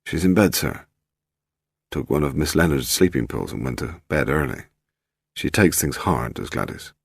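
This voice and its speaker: Man voicing a low, grim English handyman. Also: animated, normal speed, creaky, slightly rough, no echo, clean studio sound, full character voice. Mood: grim, subdued. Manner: terse, reluctant, deferential. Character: grim, terse, strong silent English chauffeur-handyman.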